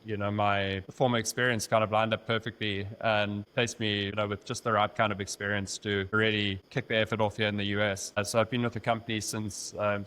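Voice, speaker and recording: A man with a South African accent, a company vice president speaking as a podcast guest in a measured, steady way. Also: fairly low pitch, clean tone, poor audio. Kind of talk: casual interview chat.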